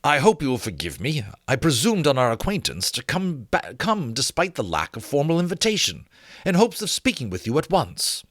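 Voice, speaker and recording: Man voicing a courteous, higher-pitched gentleman scholar. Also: huge pitch swings, normal speed, no room sound, studio quality. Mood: courteous, earnest. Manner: formal, courteous, explanatory. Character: Sir Edward, learned, courteous, grave gentleman scholar. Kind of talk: dramatic audiobook character reading.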